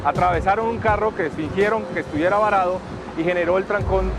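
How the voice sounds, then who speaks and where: A man giving a measured, factual, documentary-style account. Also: sits high, over music.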